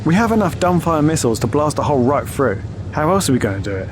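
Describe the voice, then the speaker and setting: A man's voice: fairly high pitch, sing-song, quick pace, background noise. A man playing a confident, breezy spaceship crew leader, assured and firm, in an audio drama. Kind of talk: audio drama character acting.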